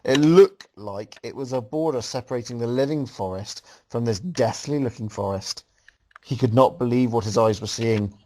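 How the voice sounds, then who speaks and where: A man's voice: medium pitch, a little mellow, poor audio. A man reading a story aloud casually as host, breaking off to make joking, mocking comments. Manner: breaks off to mock the writing.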